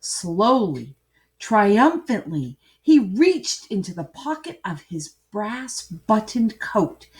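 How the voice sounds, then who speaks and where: A woman reading a children's story aloud with dramatic, emphatic phrasing and pauses. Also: lowish voice, darkish tone. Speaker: storybook reader. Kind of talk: expressive children's story narration, with asides to listeners.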